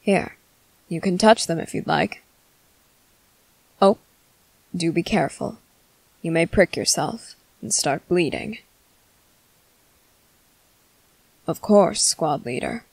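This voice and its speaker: A woman voicing a composed, menacing male vampire commander in an ASMR roleplay, speaking formally and deliberately with dramatic pauses. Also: fairly low pitch, expressive, gravelly, darkish tone, breathy edge, no room sound, exaggerated voice, rough recording. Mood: calm, reassuring. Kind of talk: ASMR roleplay monologue to the listener.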